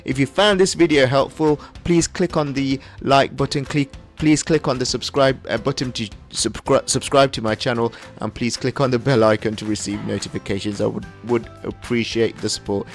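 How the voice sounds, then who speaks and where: Gravelly-voiced man giving a tutorial. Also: mid-range voice, fairly even intonation, normal speed, few pauses, soft background music. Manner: step-by-step, instructional. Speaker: tutorial host. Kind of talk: screen-recorded software tutorial narration.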